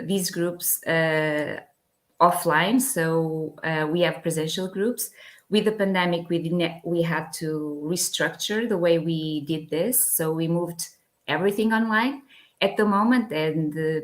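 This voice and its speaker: A Portuguese woman speaking English as a guest in a casual interview, hesitant, with many fillers. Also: fairly low pitch. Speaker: guest from a language exchange project.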